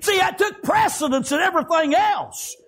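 A male preacher, delivering a shouted, rhythmic sermon full of "praise God" and "amen.". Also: extremely high pitch, moderate pace.

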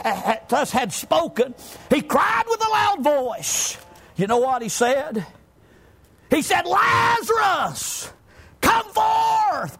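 A man with a Southern US accent preaching a fervent evangelical sermon, exclamatory and often rising toward a shout, punctuating his points with amens. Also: extremely high pitch, slightly rough.

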